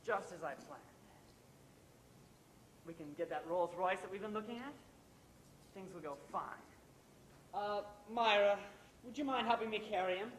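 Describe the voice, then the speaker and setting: A smug middle-aged husband and playwright played by a man on stage, coolly ruthless and theatrically sardonic. The voice rings in an echoey room with some background rumble, like an amateur recording of a live play. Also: moderate pace, long pauses, fairly bright tone. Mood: gleeful, scheming.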